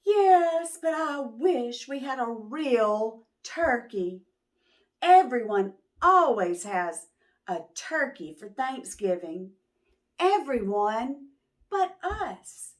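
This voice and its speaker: A woman's voice: on the high side, sing-song, very slow, voice pushed up, exaggerated voice. A woman voicing a wistful, sighing female moose in a children's storybook. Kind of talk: children's picture book read-aloud.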